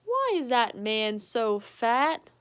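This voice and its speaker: A woman's voice: a little high, very animated, slow, a bit bright, heavily acted. A woman voicing a bossy, chatty family dog in a picture book, cheeky and emphatic. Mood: blunt.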